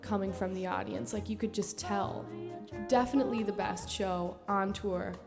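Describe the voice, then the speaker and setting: A woman's voice: mid-range voice, moderate pace, gravelly. Casual woman recounting over music. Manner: informal, animated retelling with frequent "like". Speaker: dancer interviewed about touring. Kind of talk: casual interview recollection.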